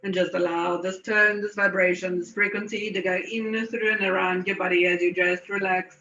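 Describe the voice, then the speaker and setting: A woman slowly guiding a meditation in a soothing, unhurried way, with lingering pauses. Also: fairly low pitch, flat delivery, pushed and bright, a little hiss or hum. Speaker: guided meditation leader. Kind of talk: slow guided meditation. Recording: dull, echoey room recording.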